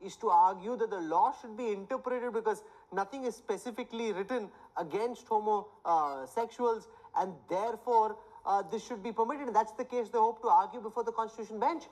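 A man's voice: high-pitched, a little fast, few pauses, darkish tone, poor audio. A man anchoring a live televised panel debate, firmly steering and cutting in on speakers. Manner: firm, interjecting, steering speakers. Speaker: news anchor moderating a debate.

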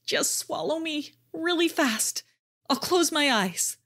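A tiny, scared creature from a children's storybook, speaking in a high, squeaky voice that swoops up and down, as a woman storyteller puts it on. It pleads and frets, anxious to get home. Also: expressive, slow pace, creaky, a bit bright, exaggerated voice. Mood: frightened. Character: small frightened creature lost far from home.

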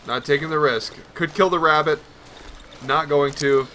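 A man with a bright, slightly high voice commentates a video game in a casual way. He rambles nervously, often talking to himself. Some noise and game ambience sit behind him. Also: fairly even intonation, normal speed. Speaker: gaming video host. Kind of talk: casual let's play commentary.

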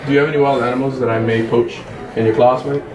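A man playing a brash, bumbling male wildlife poacher in an improvised comedy skit, excitable and tacking "mate" onto everything. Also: medium pitch, quick pace, background noise, voice pushed down, lightly acted. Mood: boastful. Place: school building, indoors. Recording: echoey halls with crowd chatter. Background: students chattering.

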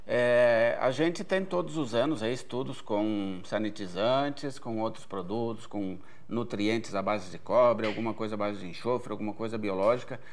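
A man's voice: fairly low pitch, moderate pace, hardly any pauses. A man in his forties from Brazil, answering viewer questions as an agronomy researcher in a careful, explanatory way with many hesitation fillers, recorded at an event stage. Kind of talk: expert Q&A answers.

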